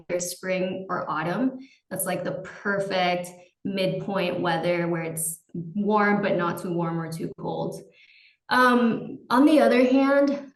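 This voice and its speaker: A woman's voice: on the low side, roomy sound, low-quality recording. A young adult woman from Argentina, a podcast guest chatting casually and laughing easily. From Salta, Argentina. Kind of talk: casual interview chat. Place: at home in Salta.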